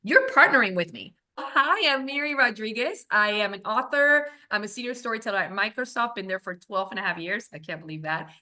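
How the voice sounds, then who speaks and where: Woman, from Venezuela, forties, guest founder, crisp bright tone, animated storyteller. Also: mid-range voice, a little fast, few pauses. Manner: animated, acts out her own past thoughts. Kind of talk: conversational interview answers.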